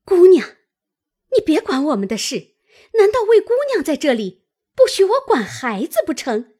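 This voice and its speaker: A woman's voice: high-pitched, sing-song, unhurried, mellow, slightly rough, voice pushed up, full character voice. A woman voicing a foolish, domineering old servant woman in a storytelling reading, scolding and nagging. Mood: angry scolding. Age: older. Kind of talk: dramatised classic novel storytelling.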